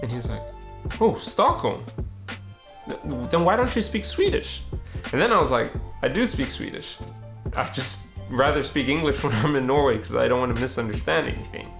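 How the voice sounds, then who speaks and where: Young man with a gravelly, casual voice. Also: medium pitch, animated, moderate pace, a bit bright, soft background music. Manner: casual, conversational, acts out the dialogue. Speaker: street photographer and vlogger. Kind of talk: reflective storytelling narration.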